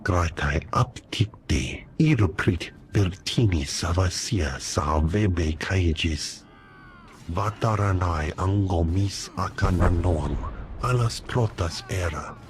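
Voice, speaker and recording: An actor playing a male alien informant who speaks only an alien tongue, in an unhurried, chanting way. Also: deep voice, slight background noise. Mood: alien chatter. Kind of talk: video game voice acting.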